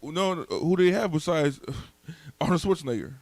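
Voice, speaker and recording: A man co-hosting a casual debate, arguing in slang with halting, stammered repetitions. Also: a little high, expressive, a little mellow, no room sound. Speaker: podcast co-host. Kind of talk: casual debate, movie chat.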